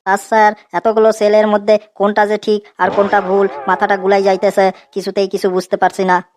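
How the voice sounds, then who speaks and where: A woman's voice: mid-range voice, monotone, a little fast, a little mellow, lightly acted. A woman as a cheeky cartoon schoolboy, narrow-ranged. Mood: cheeky, wheedling. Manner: naive, wheedling, colloquial. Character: cheeky dim schoolboy, a self-styled poet. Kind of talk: comedy cartoon dialogue.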